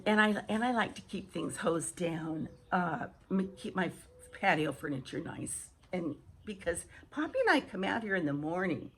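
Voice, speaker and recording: A woman vlogger with a hoarse early-morning voice, chatting casually and haltingly to viewers as she repeats and restarts phrases. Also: fairly low pitch, slight background noise. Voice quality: husky, hoarse. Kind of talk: casual rambling chat to viewers. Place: outdoor home patio.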